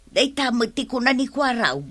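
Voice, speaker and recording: Actress as a lively, aggrieved Ilocano village woman. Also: on the high side, normal speed. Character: aggrieved village woman complaining about neighbours' goats. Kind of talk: Ilocano comedy radio drama acting.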